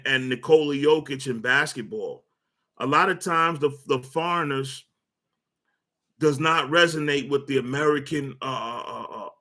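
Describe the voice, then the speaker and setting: A man's voice: mid-range voice, bright, forceful tone. A man hosting a casual sports rant, blunt and opinionated, with lots of fillers, restarts and repeated words. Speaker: sports commentary host.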